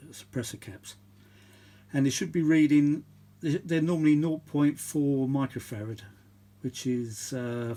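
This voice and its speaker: A man's voice: medium pitch, a little mellow. A middle-aged or older man giving casual, chatty repair commentary, rambling and thinking aloud as he works. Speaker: vintage audio repair vlogger.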